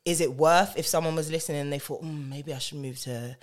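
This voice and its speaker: A woman's voice: low voice, fairly even intonation, a little fast. A British woman hosting an interview, bubbly and affirming, laughing often as she talks. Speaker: interview host. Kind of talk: casual interview chat.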